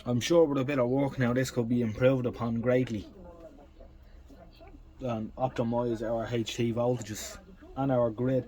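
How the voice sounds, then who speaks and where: Male workshop tinkerer, mid-pitched, casual and technical, thinking aloud, matter-of-fact. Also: level tone, a little slow, pauses often, warm tone, rough recording. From Ireland. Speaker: vintage radio restorer and collector. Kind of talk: casual hands-on demonstration commentary.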